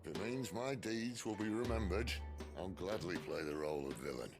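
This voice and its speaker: An actor playing a wry, defiant middle-aged outlaw leader in a video game, with a gravelly, theatrical drawl. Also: male voice, low voice, normal speed. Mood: jaunty, determined. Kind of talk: video game cutscene acting. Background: music.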